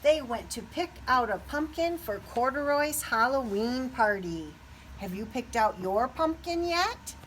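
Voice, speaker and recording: A woman reading a children's picture book aloud expressively, pausing to put questions to young listeners. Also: high-pitched, lively intonation, a bit bright, slight background noise, low-quality recording.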